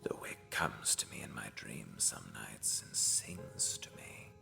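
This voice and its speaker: Here is a solemn fantasy seer acted by a man, grave and devout, guiding a future assassin. His voice sits extremely low with a gravelly creak, often hushed, over faint-to-clear music. The delivery is cryptic and ominous, as in a dark audio drama. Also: big pitch swings, slow, in a whisper, exaggerated voice. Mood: hushed, prophetic.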